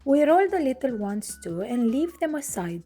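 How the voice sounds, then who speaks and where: A woman from Romania, hosting her cooking channel and narrating a recipe in clear step-by-step instructions from her home kitchen. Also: sits high, big pitch swings, moderate pace, dark tone, faint music behind.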